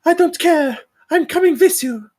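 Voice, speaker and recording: Young wife woman acting: high voice, impassioned and defiant, wounded but insistent, for a screenplay read. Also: animated, normal speed, darkish tone. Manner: emotional, insistent. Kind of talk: dramatic screenplay table read.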